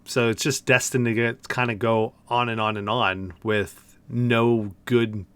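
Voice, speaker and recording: A man's voice: medium pitch, level tone, unhurried, fairly bright tone, smooth. A man hosting a podcast, chatting informally and conversationally about video games. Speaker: podcast host. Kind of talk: casual video game discussion.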